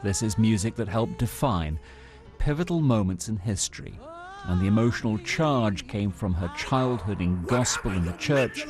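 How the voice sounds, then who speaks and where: A man's voice: on the low side, expressive, moderate pace. A British man narrating a scripted television news report as its reporter. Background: music.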